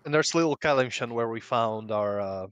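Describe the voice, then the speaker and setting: A man's voice: mid-range voice, moderate pace, a bit bright, clean tone, dry recording. A man chatting casually as a player in a tabletop game.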